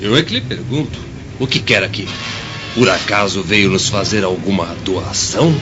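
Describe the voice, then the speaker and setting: A man's voice: low voice, normal speed, dull audio, music in the background, natural voice. A male actor playing a threatening, sarcastic young street robber in a radio drama. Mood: sarcastic menace. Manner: menacing, sarcastic.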